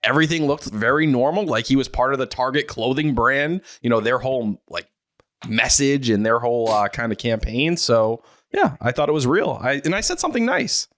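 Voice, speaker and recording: A man's voice: mid-range voice, expressive, fairly quick, fairly bright tone, no echo. A man co-hosting a sports podcast, explaining himself in a casual, earnest, rambling way. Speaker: podcast co-host.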